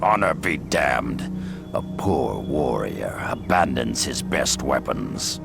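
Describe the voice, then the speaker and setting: An actor playing a proud, ruthless beast-warrior rebel leader in a video game, with a gravelly growl and a measured, menacing delivery. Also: male voice, very deep voice, lively intonation, over loud music, exaggerated voice. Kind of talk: dramatic video game acting.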